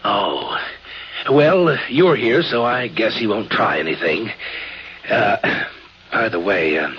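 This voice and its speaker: A slow, halting man's voice that swoops up and down, playing a plump, timid scientist eager to please. It has the dull sound of a vintage radio drama broadcast. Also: fairly low pitch, sing-song. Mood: anxious. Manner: hesitant, halting, chuckling nervously. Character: fat, chubby, timid, greedy scientist, anxious to please. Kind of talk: 1950s radio drama acting.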